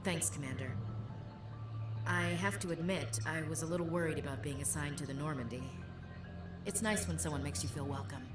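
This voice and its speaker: A woman acting a young female soldier with a fairly low voice. She is sincere, dutiful and respectful, in scripted game dialogue. She sounds distant in an echoey space, with music underneath. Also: a little fast, natural voice. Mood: grateful.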